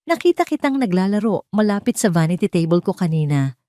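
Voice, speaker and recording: A woman voicing a cold, menacing wealthy stepmother in an audio storybook, with a sharp, commanding way of accusing. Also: mid-range voice, very animated, a little fast, no echo, studio quality, natural voice. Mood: angry, accusing. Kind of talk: dramatic audio storybook dialogue.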